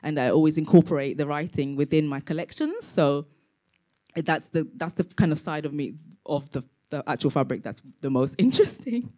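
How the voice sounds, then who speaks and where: A woman's voice: sits low, normal speed, dark tone. A woman from Tanzania, a fashion designer, giving a live presentation about her brand to an audience, speaking hesitantly with many fillers and restarts.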